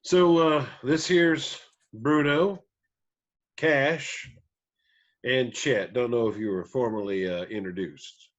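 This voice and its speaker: An actor plays an easygoing country band leader in a film drama. He is folksy and unhurried, with a mid-pitched male voice. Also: dull audio, natural voice. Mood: amused, welcoming.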